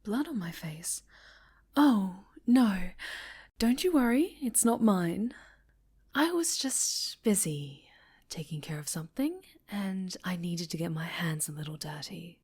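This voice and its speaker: A woman playing a sinister, possessive female superhero villain in an ASMR roleplay, speaking softly with sweet menace and often whispering. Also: medium pitch, animated. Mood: coyly sweet. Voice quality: soft, breathy, often whispered. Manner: slow, sweetly menacing, coaxing.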